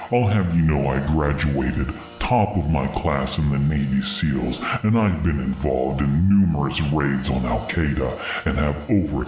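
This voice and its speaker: A man's voice: rumbling low, a little mellow. A man voicing a giant, vengeful monster woman who boasts and swears threats in a dramatic horror-story reading. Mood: menacing rage. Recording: roomy echo, music behind.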